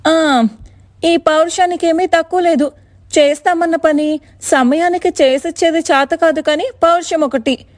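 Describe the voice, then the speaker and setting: A woman's voice: very high-pitched, steady tone, unhurried, no room sound, studio quality. A woman voicing an angry, taunting village customer in a cartoon moral story, scolding sarcastically. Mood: scornful scolding. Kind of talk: animated moral story dialogue.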